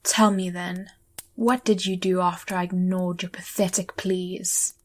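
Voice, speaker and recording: A woman playing an imperious, mocking supernatural mistress who speaks in measured, condescending questions. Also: fairly low pitch, little pitch movement. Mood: cool mockery. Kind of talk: audio drama acting.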